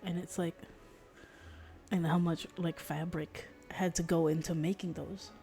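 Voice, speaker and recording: A woman's voice: fairly low pitch, fairly even intonation, a little slow, vocal fry, warm tone, faint music behind. A woman streamer chatting casually in a rambling, hesitant, wry way during gameplay. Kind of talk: casual livestream chat over gameplay. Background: video game dialogue.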